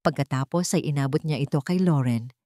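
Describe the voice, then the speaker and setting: A woman's voice: low-pitched, a little fast, dark tone, no echo, clean studio sound. A woman with a Filipino accent reading a dramatic scripted story as an audiobook narrator.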